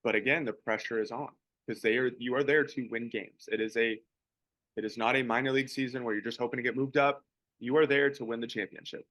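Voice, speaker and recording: Young man, bright forceful voice, dry close sound, relaxed rambling chat, baseball podcast co-host. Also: mid-range voice, quick pace, dry recording, rough recording. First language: English. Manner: relaxed, rambling, many restarts.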